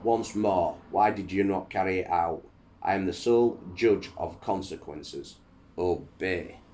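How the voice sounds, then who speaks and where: Imperious, angry emperor read by a man, low-pitched, barking orders, storybook style, clear background noise. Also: a little slow, warm tone. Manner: curt, threatening, commanding. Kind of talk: dramatic reading from a history book.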